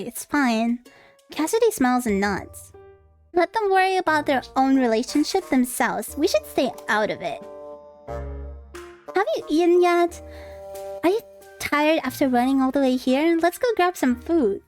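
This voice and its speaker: Girl streamer w high voice, super animated, reads game lines out loud and reacts, bit of music under her. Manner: expressive, dramatic reactions. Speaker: streamer, VTuber. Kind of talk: livestream visual novel playthrough, reading dialogue aloud with casual reactions.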